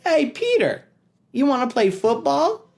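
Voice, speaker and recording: A man's voice: very high-pitched, very animated, unhurried, vocal fry, pitched above the performer's own voice, heavily acted. A man voicing a cheerful, persuasive young boy in a children's story, upbeat and questioning. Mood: cheerful coaxing. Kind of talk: children's storybook read-aloud.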